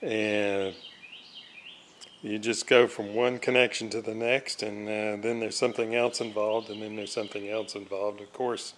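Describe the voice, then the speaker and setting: An older man giving casual, halting, folksy narration as a hobbyist at his workbench. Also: fairly low pitch, level tone, fairly bright tone, slight background noise. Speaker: radio restoration hobbyist and host.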